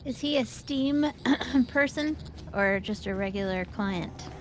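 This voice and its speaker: A woman's voice: a little high, slow pace. A woman co-hosting a game stream with casual, conversational talk. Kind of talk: casual gaming chat.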